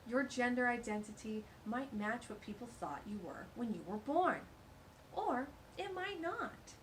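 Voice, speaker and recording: Woman, storytime reader, very expressive sing-song voice, chatty asides about pictures. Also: fairly high pitch, unhurried, a little hiss or hum, low-quality recording. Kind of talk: reading a picture book aloud to kids.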